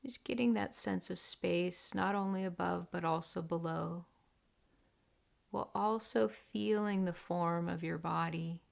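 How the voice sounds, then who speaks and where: A woman leading a guided meditation in a deliberate, gentle, hushed manner with long pauses. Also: lowish voice, vocal fry. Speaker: meditation teacher.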